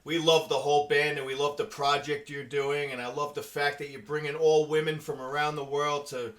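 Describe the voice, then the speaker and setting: A man's voice: fairly high pitch, little pitch movement, fairly quick, a bit bright. Upbeat American man hosting an interview. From New York, USA. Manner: friendly, joking small talk. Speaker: interview host. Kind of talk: casual interview.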